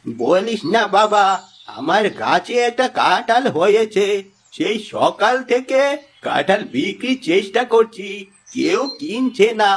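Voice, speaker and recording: A woman voicing a humble old blind man selling fruit, in a slow, drawn-out, plaintive way. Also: medium pitch, sing-song, slightly rough, exaggerated voice. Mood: weary. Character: old blind poor male jackfruit seller, humble. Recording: music under the voice.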